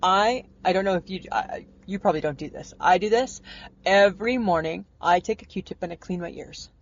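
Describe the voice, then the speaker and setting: A woman's voice: fairly low pitch, brisk. A woman in her forties co-hosting a podcast in casual, playful chat, repeating herself and laughing often. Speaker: podcast co-host. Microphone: earbud mic. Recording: dull lo-fi podcast audio with faint noise.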